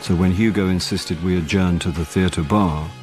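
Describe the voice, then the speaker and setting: A man's voice: deep voice, fairly even intonation, normal speed, a little mellow, faint music behind, close to an everyday voice. An actor playing a wry, world-weary middle-aged actor who narrates his own hard-drinking life with dry self-deprecation in a radio drama. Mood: dry, resigned.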